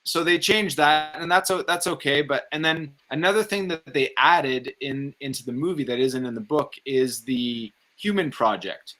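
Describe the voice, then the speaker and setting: Man, somewhat high pitch, sharp bright tone, colloquial, hesitant, casual film talk. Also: normal speed, hardly any pauses. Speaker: film review show co-host.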